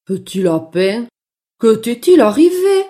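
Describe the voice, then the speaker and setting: A gentle, friendly male wolf from a storybook, as a woman storyteller puts him on. He howls and speaks slowly, stretching words out with big swings in pitch. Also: very animated. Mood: concerned. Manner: howling, drawn-out.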